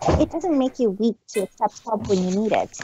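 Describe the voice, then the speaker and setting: A woman's voice: mid-range voice, animated, moderate pace, muffled recording, noisy background, natural voice. A woman voicing a proud but earnest female pony magician in a theatrical reading of fan fiction. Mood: earnest, reflective. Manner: theatrical, speaks of self in third person.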